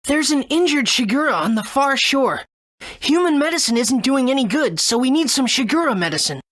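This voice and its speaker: An earnest young hero for a video game. The voice is high and lively in pitch, with a polite, eager delivery. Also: female voice, brisk.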